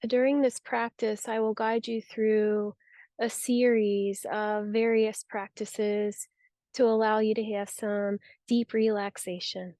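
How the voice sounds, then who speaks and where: A woman guiding a yoga nidra relaxation practice with soothing, unhurried, gentle instruction. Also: mid-range voice, flat delivery, no echo, clean studio sound. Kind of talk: guided relaxation meditation.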